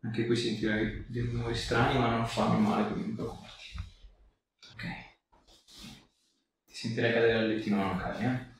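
A man working as a chiropractor, giving a patient brief, reassuring instructions in a creaky voice. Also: medium pitch, little pitch movement, normal speed, pauses often. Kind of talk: giving short hands-on instructions to a patient during an exam. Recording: echoey room, distant mic, background noise.

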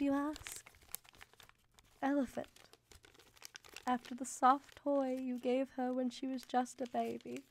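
A devoted young mother of the Victorian age in a radio drama. Her voice sits fairly high, and she reads her letters aloud with intimate, expressive earnestness.

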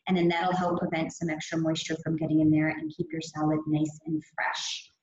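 A woman's voice: sits low, flat delivery, brisk. A young adult woman fitness trainer giving an upbeat, chatty meal prep talk over slides on a video call. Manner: upbeat, chatty, many fillers. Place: gym or studio room. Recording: echoey, dull lo-fi audio with faint hiss.

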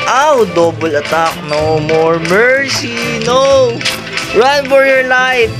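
A gaming vlogger with a Filipino accent, giving casual gameplay commentary and mixing Tagalog and English. The speaker jokes, giggles and yelps while dodging. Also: medium pitch. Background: game music.

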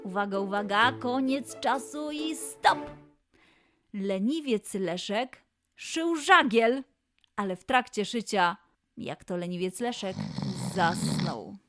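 A woman's voice: medium pitch, sing-song, takes it slowly, fairly bright tone. A woman presenting a scripted quiz for children as host, announcing and addressing the young listeners directly. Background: music.

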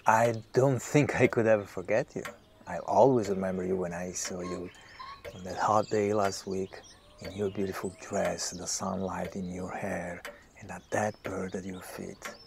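An actor playing a self-absorbed, softly charming writer in an audio drama, measured and musing. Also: male voice, sits low, steady tone, gravelly, background noise. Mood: tender, charmed.

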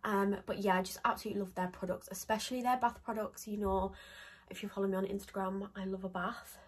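A woman's voice: medium pitch, level tone, fast, husky. Chatty congested young woman vlogging. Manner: chatty, rambling, lots of ums and likes. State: congested with a cold. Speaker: beauty vlogger. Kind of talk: casual chat to camera. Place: small home office indoors.

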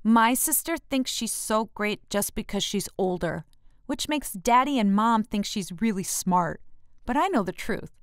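A six-year-old boy played by a woman grumbles through a storybook tale. He is sulky, jealous and defiant. The voice is smooth and clean, recorded dry. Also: mid-range voice, lively intonation, unhurried, no echo, lightly acted. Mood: resentful, boastful.

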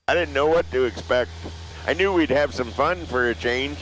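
A man's voice: mid-range voice, moderate pace, fairly bright tone, a little hiss or hum, low-quality recording. Easygoing man, student pilot. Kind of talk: casual cockpit chat. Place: small airplane cockpit.

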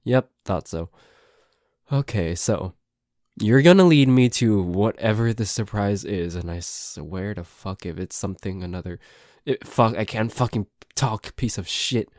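A man's voice: fairly low pitch, measured pace, a little mellow, no room sound, studio quality, close to an everyday voice. A man playing a nervous, suspicious, grumpy boyfriend in an ASMR roleplay, hesitant and stammering, with some swearing. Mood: suspicious, grumbling. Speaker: amateur voice actor.

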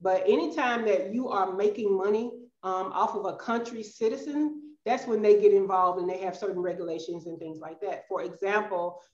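A woman's voice: medium pitch, normal speed, hardly any pauses. A middle-aged woman from the US South with a Southern accent, chatting casually as an interview guest and calling listeners y'all. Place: bright room at home. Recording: roomy, slightly dull video-call audio.

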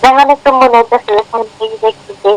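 A woman's voice: sits high, lively intonation, quick pace, vocal fry. A woman with a Bangladeshi accent chatting casually and intimately on a phone call. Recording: noisy phone call recording.

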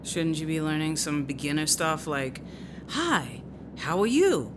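A woman's voice: sits low, big pitch swings, a little slow, noisy background. An actress playing a mocking, exasperated young woman with a sardonic, slangy manner in a radio drama. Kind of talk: naturalistic radio drama dialogue.

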